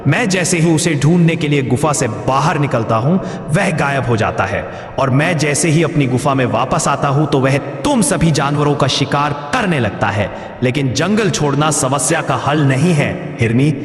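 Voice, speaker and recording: A man's voice: a little high, expressive, moderate pace, lightly acted. Man acting a proud aging lion king, echoing reverb. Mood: firm, reassuring. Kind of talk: animated moral story dialogue. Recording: echoey, long reverb.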